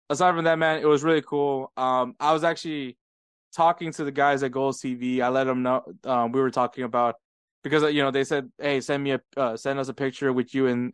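American man, podcast co-host, animated storyteller, clear voice, dry room. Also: medium pitch, no echo. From United States. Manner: laughing often, animated storytelling. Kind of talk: casual chat.

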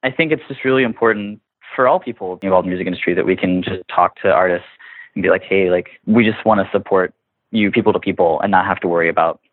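A man's voice: sits low, fast. A man in casual interview conversation, talking informally and often acting out imagined quotes to make his point. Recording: narrow phone-like line with room echo.

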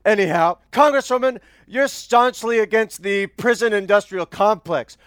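Brash cartoon cable-news pundit, very high-pitched, smug and clueless, dry close sound. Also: male voice, normal speed, a bit bright, clean tone, dry recording. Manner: bombastic, smug. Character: brash male right-wing pundit host, cocky and clueless. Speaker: panel show host. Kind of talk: satirical cable news commentary.

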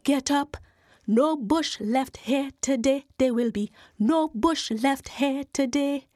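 A woman's voice: high voice, no room sound, studio quality. A woman chanting as Jumbie spirits. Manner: slow sing-song chant, loud. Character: chanting chorus of ground-dwelling Jumbie spirits.

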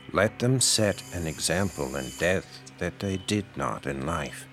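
Insinuating middle-aged pastor, American, deep voice, measured preachy delivery, audio drama, faint music. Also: male voice, fairly bright tone, full character voice. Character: paternal, insinuating small-town pastor with grown daughters. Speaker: voice actor.

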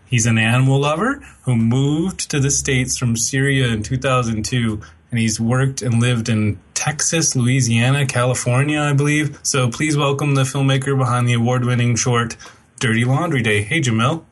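Man, podcast host, casual friendly chat, filler-heavy, mid-pitched voice. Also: moderate pace. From Michigan or Edmonton. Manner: friendly, chatty with frequent fillers.

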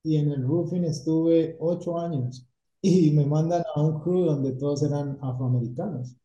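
A middle-aged man from Colombia talks through his work story at an easy pace, slipping English words into his Spanish. His voice is very dark and mellow, a little higher than most men's. The recording is echoey and dull, as if from a bare room on a lo-fi mic. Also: a little slow. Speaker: painting company owner, guest. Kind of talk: casual interview chat.

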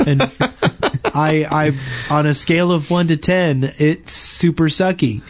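A man co-hosting a podcast, chatting casually about games with blunt but halting phrasing. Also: a little high, fairly even intonation. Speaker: podcast co-host. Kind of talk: casual gaming chat.

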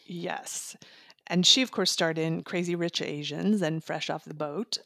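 Woman, slightly low voice, book reviewer, conversational, close dry recording. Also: gravelly, dry recording. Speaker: guest book reviewer and magazine contributor.

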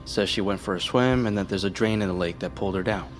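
Audio drama, male actor as calm guarded leader and medic, lowish voice, measured authority. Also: faint music behind, close to an everyday voice.

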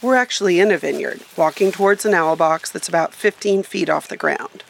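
A woman's voice: on the low side, fairly quick, gravelly, slight background noise, low-quality recording. A woman reporter reading scripted narration for a radio feature.

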